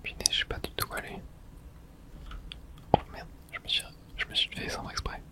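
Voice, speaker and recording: A woman whispering casual, slangy ASMR commentary as she plays a horror video game. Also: normal speed.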